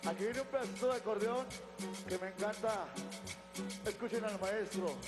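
A middle-aged man, emceeing a live concert. He talks to the crowd on a stage mic and lets out shouted calls and cheers. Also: high voice, moderate pace. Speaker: band singer and MC. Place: large concert stage before a big crowd. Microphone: stage vocal mic. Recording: live concert sound with loud band. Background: loud live band music.

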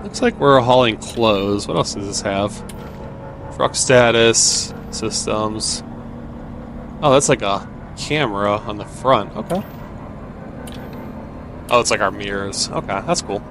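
An American man giving casual let's-play commentary, easygoing and thinking out loud. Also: fairly low pitch, slow pace, pauses often, noisy background. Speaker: gaming video host.

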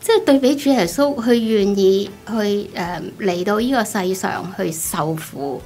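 A middle-aged woman with a Hong Kong Cantonese accent, giving a personal testimony in an expressive, conversational storytelling way. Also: medium pitch, a little slow. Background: background music.

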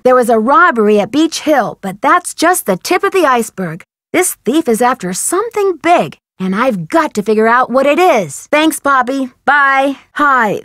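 A woman's voice: high voice, a bit bright, no echo, clean studio sound. A woman playing a polite, inquisitive, earnest young female amateur detective in scripted adventure game dialogue, speaking articulately. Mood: friendly, polite. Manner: polite, articulate, eager questioning.

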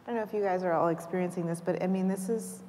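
A middle-aged woman speaking as a panelist in an animated way with quick bursts and restarts, on an auditorium stage. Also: lowish voice, a little hiss or hum. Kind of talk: panel discussion. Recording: reverberant auditorium sound.

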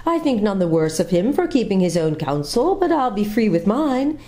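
A woman's voice: medium pitch, sing-song, moderate pace, dark tone, voice pushed up, lightly acted. A woman voicing a lovely, charming lady in green who speaks sweetly and musically, trilling her R's. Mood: gracious.

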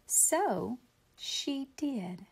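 A woman reads a children's storybook aloud in a fairly high voice, taking her time over every phrase. She is expressive and speaks simply and directly to young listeners, like a teacher with a class. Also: drawn-out words, creaky, slight background noise. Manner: simple explanatory asides addressed to children. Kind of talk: expressive picture-book read-aloud for children.

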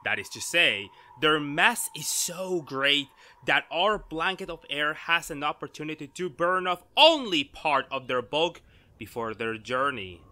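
A man acting an excitable, lecturing amateur astronomer in a theatrical, grandiose 1930s radio drama style. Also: fairly high pitch, unhurried, heavily acted. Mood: confident explaining.

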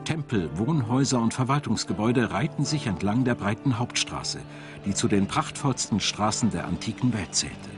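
Low-voiced male documentary narrator, lively intonation. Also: moderate pace. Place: voice-over studio. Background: music.

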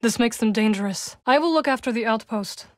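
A solemn young witch warrior from a video game, played by a woman. Her voice sits a little high and she chooses her words formally, with measured care and a guarded, wry edge. The sound is dry, with no room around it. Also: no room sound, lightly acted. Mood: dry, composed. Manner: measured, formal phrasing with few contractions. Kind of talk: video game character dialogue.

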